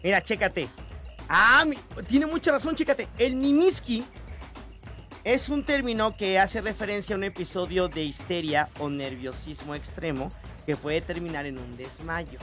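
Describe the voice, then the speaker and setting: Laughing Mexican radio host, playful banter. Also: male voice, high voice, animated, normal speed, pushed and bright, faint music behind. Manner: playful, laughing often, reads listener messages.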